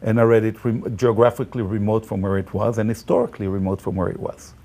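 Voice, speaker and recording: A man from Israel whose Israeli accent is clear, and whose first language is Hebrew. His voice sits low and sounds mellow and dark. He talks in a halting way, with frequent fillers and restarts. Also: little pitch movement. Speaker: guest, tour guide and Bible teacher. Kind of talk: casual conversation.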